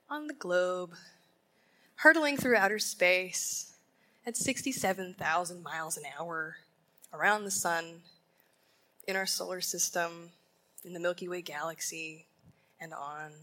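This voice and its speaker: A woman teaching a sermon at a women's conference in a ballroom, speaking deliberately and emphatically and asking her listeners rhetorical questions. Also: lowish voice, bright, forceful tone.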